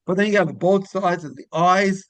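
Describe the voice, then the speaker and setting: A man's voice: sits high, moderate pace, fairly bright tone, dry recording, rough recording. A man hosting a casual interview, talking in a rambling, repetitive way. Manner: rambling, repeats phrases, griping about pet peeves. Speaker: interview show host.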